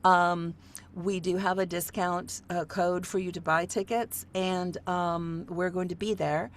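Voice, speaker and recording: A woman's voice: on the low side, fairly even intonation, measured pace. A woman hosting an online workshop, talking casually with many fillers and easy laughs. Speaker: host.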